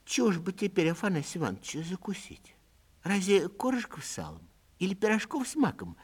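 An actor voicing a caring, homely elderly landowner's wife in a literary audiobook, solicitous and coaxing. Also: male voice, sits high, big pitch swings, a little fast, husky, pitched above the performer's own voice, heavily acted.

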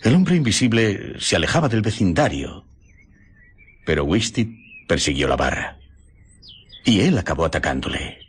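An actor with a Spain accent playing a thoughtful, troubled gentleman doctor in a radio drama, with reflective, theatrical phrasing. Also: deep voice, very animated, normal speed, pauses often, slightly rough, heavily acted. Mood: pensive, concerned. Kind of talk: Spanish radio drama acting.

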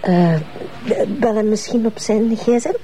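An actress playing a Flemish policewoman in a television crime drama, brisk and chiding but easily flustered. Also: a little high, warm tone, a little hiss or hum, close to an everyday voice, low-quality recording. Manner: chiding, hesitant when cornered.

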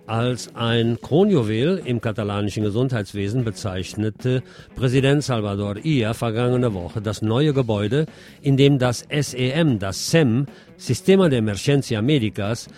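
A man's voice: medium pitch, darkish tone. A man presenting radio news from a script, reading reports and adding wry asides.

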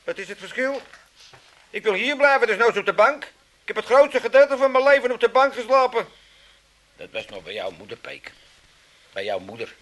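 A man's voice: very high-pitched, sing-song, moderate pace, long pauses, fairly bright tone, full character voice. A man playing a cantankerous, self-pitying old father, blustering and theatrical in a comic radio play. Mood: aggrieved. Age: older.

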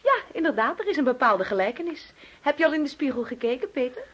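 An actress in a radio drama playing a doting, controlling mother who fusses and coaxes with sweet insistence in a husky voice. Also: high-pitched, expressive, fast talker, muffled recording. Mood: fussy, coaxing. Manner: coaxing, fussing, overbearing.

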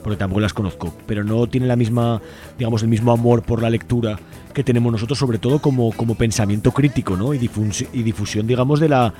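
A man's voice: mid-range voice, fairly even intonation, quick pace, warm tone, soft background music. A man with an accent from Spain speaking casually and enthusiastically as a radio guest, in a colloquial, rambling way that often seeks agreement. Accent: Spanish from Spain. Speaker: radio guest from a community library collective. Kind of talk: casual spoken radio interview.

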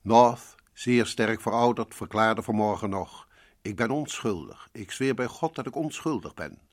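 Casting: ominous radio horror narrator, lowish husky voice, deliberate and theatrical. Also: male voice. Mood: grave, emphatic. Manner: ominous, deliberate, sometimes sinking to hushed tones. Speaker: host and narrator. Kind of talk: dramatic scripted radio narration.

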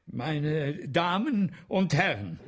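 An actor in a German radio play playing an anguished, fearful French playwright, theatrical and husky, swinging from hushed weariness to declamation. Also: male voice, fairly high pitch, very animated, drawn-out words, a little hiss or hum, heavily acted. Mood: agitated, declaiming. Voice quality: husky, hoarse, gravelly.

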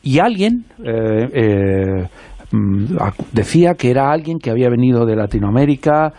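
A man's voice: medium pitch, very animated, normal speed, warm tone, no room sound. A male radio host in the studio setting out background facts to his guest before a question.

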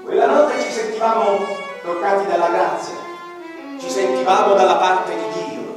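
A man narrates a radio play in a slow, theatrical way, now and then dropping into hushed low passages. His voice sits a little high. He is heard from a distance in a large hall with long, heavy reverb and faint room noise. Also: loud music behind the voice. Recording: echoey hall, from far off, faint ambience.

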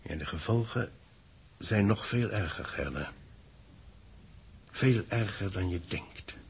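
An actor playing a grave space-mission official in a 1960s radio play, speaking solemnly and deliberately in a husky, gravelly voice. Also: male voice, deep voice, animated, a little hiss or hum, full character voice. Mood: grave, resigned.

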